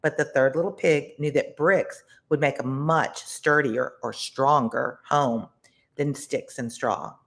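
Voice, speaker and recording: A read-along storyteller reading a children's tale expressively and deliberately, pausing to explain words simply like a tutor. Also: medium pitch, vocal fry, a bit bright.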